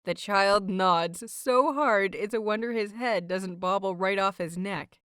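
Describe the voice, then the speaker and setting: A woman's voice: medium pitch, unhurried, a bit bright, clean tone, no room sound. Woman narrating an audiobook, close and dry. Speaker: host and narrator of fan fiction audiobooks. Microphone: close microphone, dry recording.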